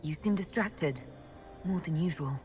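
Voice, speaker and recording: An actress playing a composed, guarded young noblewoman who leads an order in a fantasy game, with a measured, dry manner. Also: low-pitched, a little mellow, faint music behind. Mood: concerned, curious. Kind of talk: fantasy video game dialogue acting.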